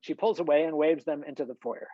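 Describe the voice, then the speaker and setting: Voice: medium pitch, fast talker, no echo. A narrator reads screenplay scene headings and stage directions as scripted narration over a video call. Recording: slightly dull video-call audio.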